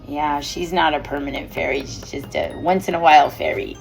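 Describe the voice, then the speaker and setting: A woman's voice: low-pitched, fairly even intonation, brisk, gravelly, faint music behind, low-quality recording. A woman teacher reading a picture book aloud to children, chatting and asking them questions about the pages. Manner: chatty, asks the children questions and laughs along.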